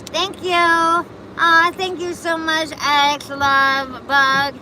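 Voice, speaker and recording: A woman's voice: high voice, little pitch movement, very slow, sharp tone, low-quality recording. Chatty woman streaming amid traffic. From Buffalo, New York. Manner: chatty, rambling, reacts to chat comments. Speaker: livestream host. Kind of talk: casual live chat answering viewers.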